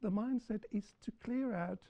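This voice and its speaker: A woman speaking on a panel after a film screening, lecturing in a deliberate way and repeating points for emphasis. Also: mid-range voice, mellow. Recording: dull lo-fi recording.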